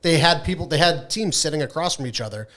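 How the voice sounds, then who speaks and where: A man's voice: a little high, expressive, quick pace, a bit bright. A man co-hosting a casual gaming podcast, talking informally and emphatically with plenty of swearing. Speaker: podcast co-host.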